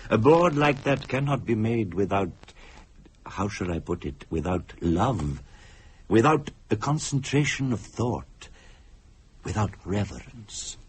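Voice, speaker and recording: An actor playing an earnest man who solemnly and deliberately tells a family ghost tale in a radio play. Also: fairly low pitch, sing-song, slightly rough, full character voice. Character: earnest man telling his great-grandfather's ghostly tale. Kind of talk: dramatic radio play storytelling.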